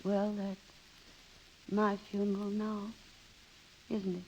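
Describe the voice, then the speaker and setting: An actress playing a restless, romantic, defiant American wife in a 1930s radio drama, with theatrical, emotional delivery on a vintage broadcast recording. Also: female voice, mid-range voice, completely flat delivery, slow, darkish tone, lo-fi sound, a little hiss or hum. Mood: subdued.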